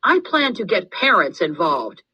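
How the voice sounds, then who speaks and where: A woman's voice: on the low side, big pitch swings, measured pace, pushed and bright, slightly rough, lo-fi sound, pitched above the performer's own voice, heavily acted. A woman voicing an earnest, determined young girl giving a campaign speech in a storybook read-aloud. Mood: earnest, pleading. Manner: declamatory, determined, pleading.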